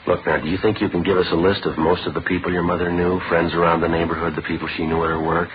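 A man playing a terse, methodical police detective in a vintage radio crime drama, speaking in a matter-of-fact, deadpan way. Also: deep voice, quick pace, noisy background, natural voice. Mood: calm, probing. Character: terse, methodical male police detective narrating his case. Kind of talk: 1950s radio crime drama, clipped scripted narration. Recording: dull old radio broadcast with music.

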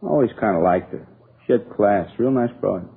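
A shifty petty criminal in a vintage radio crime play. He talks slangy and defensive in a slightly rough, lowish voice. The recording is narrow and tinny, like an old broadcast. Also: lively intonation, moderate pace, heavily acted. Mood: evasive, irritated. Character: shifty small-time crook, wise guy under questioning. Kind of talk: 1950s radio crime drama acting.

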